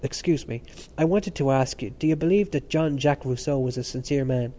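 A man voicing a sly, gossiping young male student who talks in quick, hushed bursts. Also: mid-range voice, natural voice. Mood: earnest.

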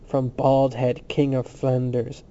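Man doing a scornful, vehement student, dark-toned. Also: mid-range voice, completely flat delivery, slow, dull audio. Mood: mocking. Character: Cranley, gruff scornful male student, rudely urbane.

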